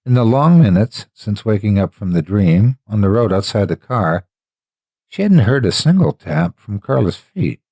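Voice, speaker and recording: A man narrating a scripted horror story with deliberate, ominous, dramatic phrasing. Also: on the low side, sing-song, dark tone, no echo.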